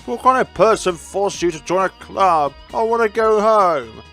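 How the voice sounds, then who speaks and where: A man's voice: high-pitched, expressive, a little slow, a bit bright, pitched above the performer's own voice, exaggerated voice. Easygoing teen friend read by a man, reluctant yet supportive, varied intonation, visual novel dialogue. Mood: whiny. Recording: background game music.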